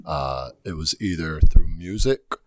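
A man, a teacher, talking thoughtfully in a casual group conversation and pausing often with fillers. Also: very deep voice, lively intonation, a little mellow, no echo, studio quality. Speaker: teacher at a detention center.

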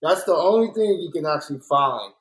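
Earnest man talking, slightly high voice. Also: lively intonation, a little fast, rough recording. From born in Kansas City, raised in South Central Los Angeles. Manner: earnest, reflective, repeats points for emphasis. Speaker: muralist and guest. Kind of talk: candid conversational interview.

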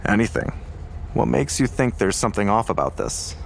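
An actor playing a calm, skeptical male investigator in an audio drama. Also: on the low side, moderate pace, vocal fry, slight background noise.

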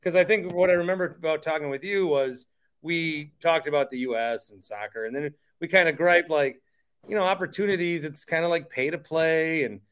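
Chatty podcast host, man with a higher voice. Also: a little fast, fairly bright tone, clean tone. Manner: chatty and emphatic, steering the guest. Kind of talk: casual interview chat.

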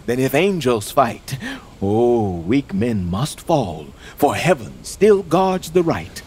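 A man's voice: medium pitch, very animated, slow, a little mellow, slight background noise. An actor playing a proud, doomed young English king, speaking in grand, declamatory Shakespearean verse that swings from whispers to ringing cries. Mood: defiant. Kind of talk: Shakespearean stage acting.

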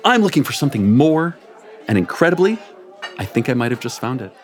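A man narrating a comic story before a live audience, playful and amused beneath a straight delivery. His pitch is lively and wide-ranging. He lets the absurd lines land without hurry. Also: a little fast. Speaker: story reader. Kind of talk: live comic reading of erotic fiction.

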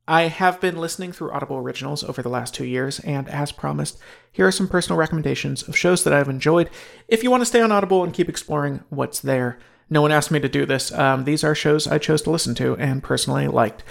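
A man's voice: fairly high pitch, brisk, creaky. A man hosting a podcast, giving casual recommendations in a conversational, personal way. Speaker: podcast host.

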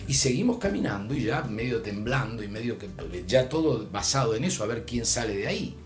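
An older man from Argentina with an Argentine Spanish accent, telling a long story in a relaxed chat, his voice rising and dropping as he goes. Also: mid-range voice, brisk, soft background music. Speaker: storyteller guest. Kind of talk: casual anecdote.

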